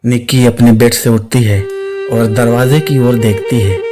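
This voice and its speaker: Slow male story narrator over music. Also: medium pitch, steady tone. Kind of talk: scripted suspense story narration. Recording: clear music under the voice.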